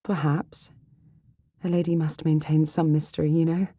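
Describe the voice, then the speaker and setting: A woman voicing a witty, candid, level-headed young Regency heroine in an audiobook, poised and measured. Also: sits low, level tone, warm tone, no room sound, clean studio sound, voice pushed up. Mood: playful.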